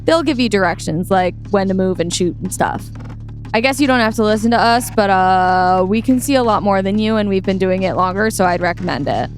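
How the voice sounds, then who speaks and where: A woman's voice: medium pitch, fairly quick, faint music behind, natural voice. A woman playing a cocky, callous rich teenage boy gamer in an audio play. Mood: smug, offhand. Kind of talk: audio play table read.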